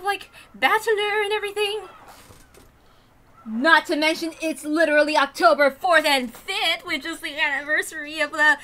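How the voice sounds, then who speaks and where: An excitable, animated woman hosting a read-aloud series, moving between casual rambling and reading a story. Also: very high-pitched. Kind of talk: casual chat and visual novel read-aloud.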